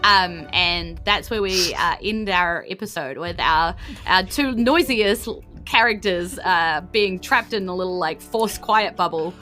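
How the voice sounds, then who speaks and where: Bright-voiced New Zealand woman telling a story. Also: lowish voice, a little slow, faint music behind. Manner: informal, chatty storytelling. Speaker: game master. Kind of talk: improvised tabletop game narration.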